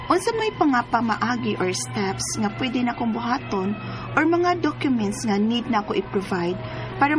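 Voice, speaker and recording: A woman's voice: a little high, close to an everyday voice. An actress playing a distraught, emotional young woman in a Bisaya radio drama, with a Cebuano Filipino accent. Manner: melodramatic, emotional. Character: distraught pregnant young woman bereaved of her fiancé.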